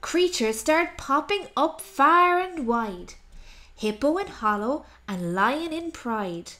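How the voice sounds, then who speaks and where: A woman narrates a rhyming picture book for children. Her voice is high and very animated, and she takes her time, leaning on key words for dramatic effect. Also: slow. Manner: expressive, dramatic emphasis. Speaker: storybook narrator.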